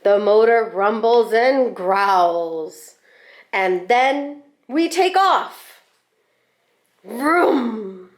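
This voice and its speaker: Woman reading a picture book, slow and deliberate. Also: a little high, animated. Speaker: school staff storybook reader. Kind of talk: expressive children's picture book read-aloud.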